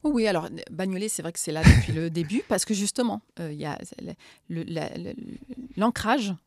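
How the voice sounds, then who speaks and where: A middle-aged woman from Morocco gives long, reflective interview answers in a lowish voice. She hesitates often and repeats small words while she looks for the right phrase. The recording is dry and close, with no room echo. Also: expressive, moderate pace, a little mellow. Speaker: author and political scientist.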